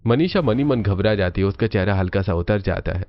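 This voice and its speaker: A man's voice: fairly low pitch, animated, fairly quick, highs cut off. A man narrating a scripted cartoon family story as the storyteller.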